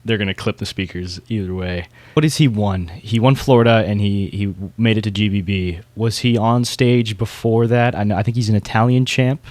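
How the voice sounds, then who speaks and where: A man's voice: fairly low pitch, steady tone, moderate pace, few pauses, darkish tone, dry recording. A man hosting a casual podcast, talking informally and knowledgeably about competitors in his field. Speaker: podcast host.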